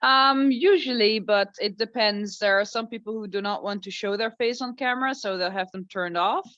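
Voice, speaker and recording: A woman's voice: mid-range voice, normal speed, a bit bright, no room sound. A woman casually explaining things as a club member on a video call from home. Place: at home in the Netherlands. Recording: dull, lo-fi video call audio.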